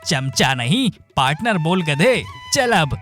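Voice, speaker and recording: A man's voice: fairly high pitch, sing-song, slow pace, a bit bright, full character voice. A man voicing a greedy, bossy thief leader who scolds and schemes. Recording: background music.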